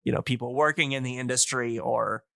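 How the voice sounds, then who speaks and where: A man explaining things casually on a podcast as an enthusiast, in a halting, rambling way full of fillers. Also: medium pitch, fairly even intonation, creaky, sharp tone, no room sound, studio quality. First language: English. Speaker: podcast speaker on old-time radio.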